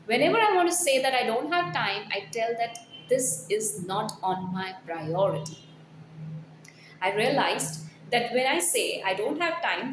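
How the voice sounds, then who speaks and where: A roomy, echoing recording carries a woman's fairly high voice. She presents like a corporate trainer, reading prepared text aloud and urging the listener on with earnest emphasis. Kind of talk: scripted blog read aloud with personal asides. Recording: roomy echo, faint background noise.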